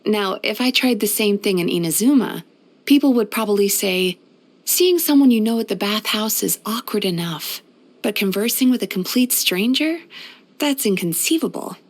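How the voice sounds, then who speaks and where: A gentle female spirit from a fantasy video game, centuries old and feeding on dreams. Her mid-range voice is soothing and polite. She speaks like a caring therapist putting a patient at ease. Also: medium pitch, expressive, moderate pace. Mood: chatty, thoughtful. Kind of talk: video game character voice lines.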